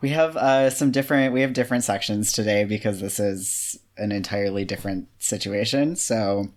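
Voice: medium pitch, moderate pace. A podcast co-host chatting casually and frankly, in an informal way peppered with filler words like "like". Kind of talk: casual chat.

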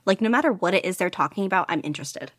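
A woman's voice: on the low side, lively intonation, racing, fairly bright tone, dry recording, studio quality. A woman guest in a casual interview, talking eagerly about her hobby with many "like"s and laughs. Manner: gushing, lots of "like" and laughter. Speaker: guest podficcer.

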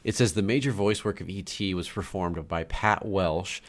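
A woman's voice: extremely low pitch, normal speed. A woman co-hosting a podcast in playful casual chat. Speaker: podcast co-host.

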